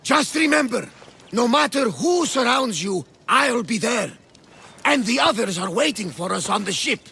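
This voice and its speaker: Casting: boisterous loyal sidekick for a game, high gravelly voice, exuberant, emphatic. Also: male voice, expressive, measured pace, sharp tone, exaggerated voice. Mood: exuberant, encouraging. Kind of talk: cinematic video game acting.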